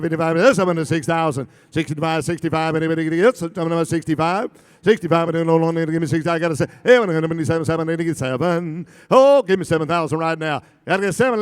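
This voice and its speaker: A man working a live charity auction, rattling off bids in a rapid rhythmic chant and joking with the crowd. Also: on the high side, smooth. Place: large banquet hall at a gala. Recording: long reverb in a big hall.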